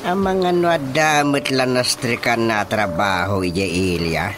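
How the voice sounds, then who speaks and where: Slow-talking grumbling Ilocano village chairman played by an actor. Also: medium pitch, close to an everyday voice. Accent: Filipino, Ilocano. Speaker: radio drama actor. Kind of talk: comic radio drama acting. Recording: radio drama with music underneath.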